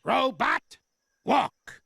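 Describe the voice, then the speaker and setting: A man's voice: very high voice, animated, extremely slow, noisy background, heavily acted. An actor playing a grumpy, bossy old male ape in a TV cartoon, barking insults and grumbling at everyone. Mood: grouchy. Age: older. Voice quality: gravelly old-man voice. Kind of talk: TV cartoon voice acting. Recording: cartoon soundtrack with music. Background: music score.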